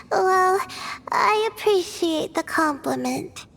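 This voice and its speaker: Timid anxious student girl, game character, slow n hesitant w some creaky fry, long echoey reverb. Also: extremely high pitch, animated, fairly bright tone, slight background noise, exaggerated voice. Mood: wistful, modest. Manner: hesitant, self-doubting, apologetic. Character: shy, anxious young female student, modest and polite. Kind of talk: anime-style video game voice acting.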